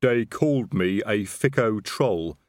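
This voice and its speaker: A man voicing a big, slow, stubborn but dutiful male troll sergeant with blunt, uneducated diction, in a storybook reading. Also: on the low side, expressive, dry recording, studio quality. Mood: dark, aggrieved. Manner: blunt, thick uneducated diction, dropped th sounds.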